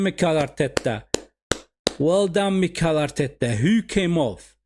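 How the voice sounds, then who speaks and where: A man's voice: fairly high pitch, darkish tone. A man whose first language is Somali, running a live football watch-along and calling the game for his viewers, often repeating his phrases. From Sweden.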